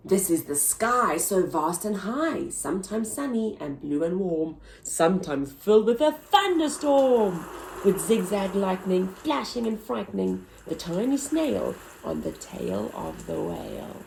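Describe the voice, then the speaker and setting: A woman reading a rhyming children's book aloud, in a slow and deliberate sing-song. Her pitch rises and falls widely with the rhymes. A gravelly creak sits low in her voice. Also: sing-song, darkish tone, slight background noise, poor audio. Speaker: children's story reader.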